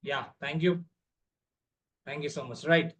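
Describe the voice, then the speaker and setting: Young man, Telugu accent, high voice, public speaking trainer, emphatic lecture style, rhetorical questions. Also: fairly even intonation, extremely slow, slight background noise. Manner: emphatic, stressing key words, checking understanding.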